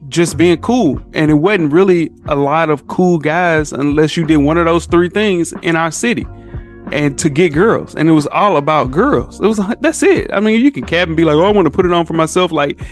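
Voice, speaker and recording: A man's voice: a little high, moderate pace, faint music behind. A man in his thirties with a Southern US accent, chatting casually and telling stories in an emphatic, slangy way. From southern United States. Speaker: podcast guest. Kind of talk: casual chat.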